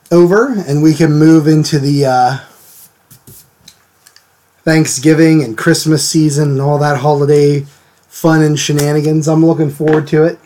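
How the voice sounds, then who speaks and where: Slightly hoarse man chatting on a live stream. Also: a little high, darkish tone. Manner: chatty, greets viewers by name, many fillers. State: hoarse, recovering from a cold. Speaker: art stream host. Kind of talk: casual live-stream chat. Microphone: desk mic, sometimes turned away from it.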